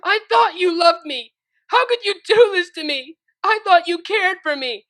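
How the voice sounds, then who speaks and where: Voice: high voice, takes it slowly, creaky, bright, forceful tone, breathy edge, voice pushed up, full character voice. A reader voicing a young female pegasus filly who pleads and wails through tears, in a fan fiction audiobook. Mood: wailing. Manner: wailing, tearful pleading.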